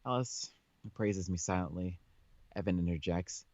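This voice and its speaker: A man's voice: deep voice, moderate pace, a little mellow, no echo, dull audio. A man hosting a game playthrough, reading visual novel narration aloud and chatting casually about it.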